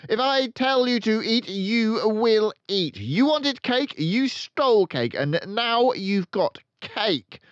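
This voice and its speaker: A man voicing a tyrannical, bullying headmistress in a storybook, shouting and drawing out commands. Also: high voice, sing-song, smooth, no echo, dull audio, pitched above the performer's own voice, heavily acted. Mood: furious. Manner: shouting, drawn-out commanding delivery. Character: Trunchbull, tyrannical bullying headmistress, loud and menacing woman.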